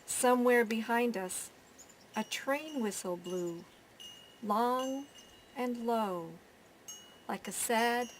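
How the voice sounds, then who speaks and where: A woman with a fairly high voice reads a children's story aloud. She goes very slowly, in measured phrases with pauses and stretched words. There is faint noise behind her. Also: low-quality recording. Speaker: storyteller reading a picture book aloud.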